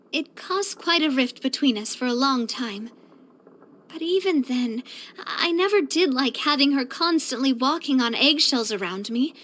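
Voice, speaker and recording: A woman voicing a bright, earnest, sociable young female leader in a video game, chatty and expressive. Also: high-pitched. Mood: tender, reflective. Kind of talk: video game character voice lines.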